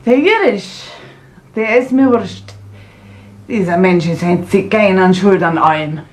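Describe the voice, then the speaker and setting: A woman's voice: mid-range voice, animated, unhurried, close to an everyday voice. An actress with a Bavarian accent playing a blunt, resentful working woman, dismissive, in a radio crime drama. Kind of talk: 1970s German radio crime drama acting.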